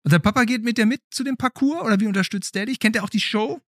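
A man's voice: high-pitched, fast talker, a bit bright, dry recording, studio quality. A man hosting a podcast, chatting casually in an interview with a child guest. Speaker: podcast host.

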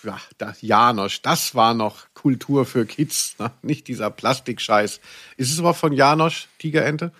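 A man's voice: medium pitch, unhurried, pushed and bright, slightly rough. A man co-hosting a podcast in casual conversation, with a playful, ironic, teasing manner. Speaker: podcast co-host. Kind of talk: casual chat about audio dramas.

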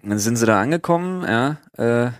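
A man's voice: mid-range voice, lively intonation, fairly quick, clear, resonant tone, dry recording, clean studio sound. A man co-hosting a podcast in casual chat, blunt and slangy with frequent exclamations. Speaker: podcast co-host.

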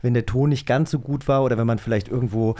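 A man's voice: medium pitch, steady tone, fast, mellow. A man co-hosting a podcast in casual chat, talkative with a jokey, teasing manner. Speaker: podcast co-host and actor.

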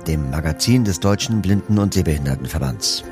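A man's voice: a bass voice, expressive, a little fast. A man presenting an audio magazine episode in a formal announcer style. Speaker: podcast presenter. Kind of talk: scripted introduction. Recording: dry studio voice over soft music. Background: soft music.

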